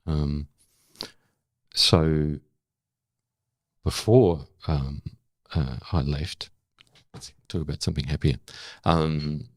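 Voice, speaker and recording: An older Australian man with a gravelly voice, speaking as an interview guest and former correspondent. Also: rumbling low, slow pace, pauses often, dry recording. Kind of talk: dramatic spoken-word recital of own prose, then reflective conversation.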